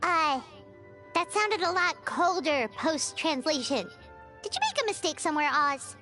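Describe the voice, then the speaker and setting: A woman voicing a tiny childlike fairy companion in an anime game, squeaky, naive and chatty, with an exclamatory manner. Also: very animated, a little slow, sharp tone, soft background music, heavily acted. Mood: puzzled. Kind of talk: English-dubbed anime game acting.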